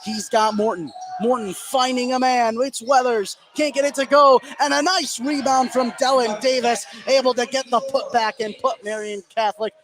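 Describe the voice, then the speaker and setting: A man's voice: extremely high pitch, fairly even intonation, moderate pace, few pauses, soft background music. A man doing live play-by-play basketball commentary on the radio, narrating in short clipped phrases. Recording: noisy gym ambience behind. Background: ambient noise of a basketball game.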